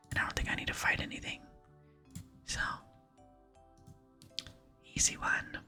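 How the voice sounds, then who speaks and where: A woman whispering softly and unhurriedly close to the microphone as she hosts an ASMR gaming playthrough. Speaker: gaming video host. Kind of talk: whispered ASMR game playthrough with reading aloud. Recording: close whisper with music behind.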